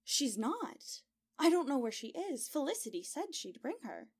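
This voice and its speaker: Young maid: blunt, sassy, lively swings in pitch, audio drama. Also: female voice, normal speed.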